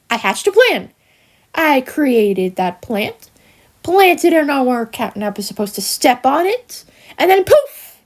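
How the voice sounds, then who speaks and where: A smug, jealous cartoon critter villainess, speaks in a high voice that swings widely up and down. She takes her time, drawing words out slowly with theatrical, mock-sweet menace. Her manner is taunting and sinister, as in a fan-made animated monologue. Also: heavily acted. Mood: gleefully scheming.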